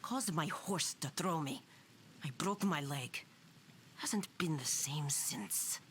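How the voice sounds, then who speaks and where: An actor playing a weary, embittered knight in a video game, talking in a gruff, grudging manner. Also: male voice, fairly high pitch, slow pace, fairly bright tone, slight background noise. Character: weary, embittered knight commander guarding a settlement. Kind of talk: dramatic video game acting.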